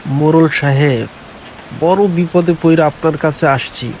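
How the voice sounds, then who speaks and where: A man plays a village farmer and father in a cartoon folk tale. His voice sits on the high side and carries a worried, plaintive lament. There is faint rain and hiss behind it. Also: unhurried, darkish tone, close to an everyday voice, rough recording. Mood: pleading. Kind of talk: animated folk tale acting.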